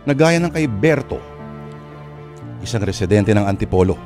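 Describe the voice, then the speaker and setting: A man with a Filipino accent hosting and narrating a radio drama, phrasing deliberately with dramatic pauses. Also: fairly low pitch, animated, a little mellow. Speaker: host and narrator. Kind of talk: dramatic radio storytelling introduction. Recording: music under the voice.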